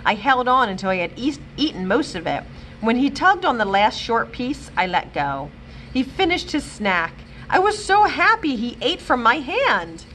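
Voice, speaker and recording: A woman librarian reading a children's chapter book aloud with expressive, animated storytelling. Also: a little high, normal speed, slight background noise. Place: library, indoors.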